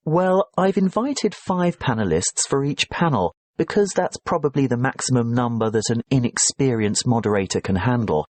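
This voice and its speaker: A man playing a professor who briskly explains a task to his students in a scripted exam dialogue. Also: medium pitch, expressive, a little mellow, no echo, natural voice. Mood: explaining. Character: male professor guiding students, calm and brisk.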